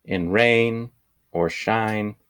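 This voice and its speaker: A man reading a children's picture book aloud in measured, deliberate phrases with pauses. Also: fairly low pitch, a bit bright, clean tone. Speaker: storybook reader.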